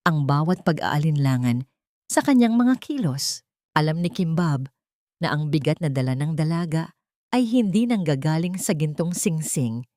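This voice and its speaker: A woman's voice: sits low, warm tone, no echo, studio quality. A woman narrator reading a scripted dramatic audio story in a solemn, emotive way.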